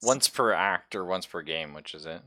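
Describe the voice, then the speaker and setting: A man acts as game master at a casual tabletop session. His voice sits a little low and has a bright, forward edge, recorded very dry. He thinks aloud informally and swears now and then. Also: animated, moderate pace, no room sound.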